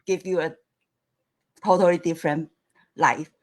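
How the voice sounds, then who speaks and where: A woman's voice: lowish voice, steady tone, darkish tone. A woman guest in a casual online chat, speaking hesitantly with frequent small laughs. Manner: slow, hesitant, laughs often. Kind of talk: casual live chat interview. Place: lived and worked in the United States.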